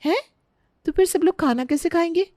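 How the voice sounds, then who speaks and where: A woman's voice: very high voice, a little fast, dark tone, no room sound, voice pushed up. A woman voicing a hesitant, puzzled young Indian newlywed daughter-in-law, polite and questioning.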